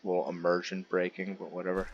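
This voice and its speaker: A man's voice: deep voice, level tone, quick pace, highs cut off, a little hiss or hum. A man giving casual, rambling gameplay commentary, chatting informally to his viewers as the host. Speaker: gaming video host.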